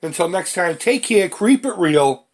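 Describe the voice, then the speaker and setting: A man's voice: high-pitched, expressive, measured pace. A middle-aged man with a stuffy, congested voice from a head cold, giving casual, self-deprecating commentary as he hosts a retro video game showcase. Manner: self-deprecating, informal, mildly crude. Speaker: host of a retro gaming channel. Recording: clearly noisy home recording.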